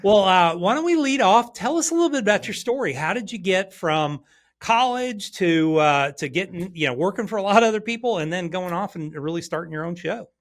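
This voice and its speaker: A man's voice: high-pitched, lively intonation, brisk. Friendly podcast host, man. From Hawaii. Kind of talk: podcast interview.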